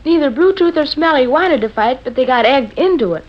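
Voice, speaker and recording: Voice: sits high, lively intonation, brisk. A young performer playing a talkative, literal-minded American boy with a folksy, slangy manner in 1940s radio comedy. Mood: earnest storytelling. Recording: dull old radio broadcast recording.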